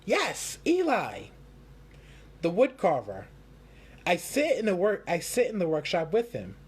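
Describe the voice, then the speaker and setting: A man's voice: sits high, wildly swooping pitch, measured pace, slightly rough, pitched above the performer's own voice, exaggerated voice. A man voicing a carefree, self-assured female wooden puppet in a children's storybook, easygoing and encouraging. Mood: breezy.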